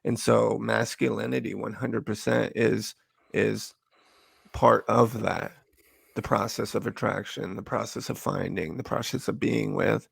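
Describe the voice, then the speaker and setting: A man's voice: on the low side, fairly even intonation, a little slow, creaky, slightly rough, no echo. A man co-hosting a podcast, speaking in a casual, agreeable way and backing up his partner with frequent affirmations. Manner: agreeable, lots of "yeah" and "for sure" backchannels. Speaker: podcast co-host. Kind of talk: casual earnest discussion.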